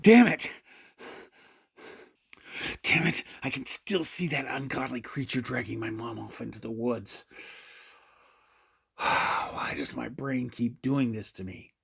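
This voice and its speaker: A tired, troubled searcher acted by a man in a radio play. His voice sits at a middle pitch, with a conversational, muttering delivery. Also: slow pace, gravelly, long pauses, fairly bright tone, breathy edge, heavily acted. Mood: distressed. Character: weary, troubled male searcher who lives with snake. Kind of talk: radio drama acting.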